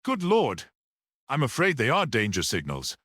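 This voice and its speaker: A man's voice: medium pitch, huge pitch swings, moderate pace, a bit bright, dry recording, studio quality, voice pushed up. A man voicing a detective's curious, easily startled male companion in a mystery story. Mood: alarmed.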